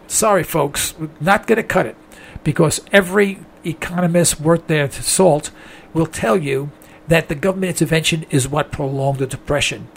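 Hoarse, gravelly male talk radio host. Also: on the high side, a little hiss or hum. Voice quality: strained, gravelly voice. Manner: rambling, folksy, addresses listeners as folks. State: hoarse from a throat infection. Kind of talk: call-in radio monologue.

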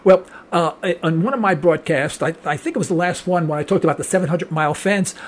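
A man's voice: a little high, fast. An older man from New York, a radio host who rambles, stammers with repeated words and jokes while interviewing a guest. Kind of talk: casual interview.

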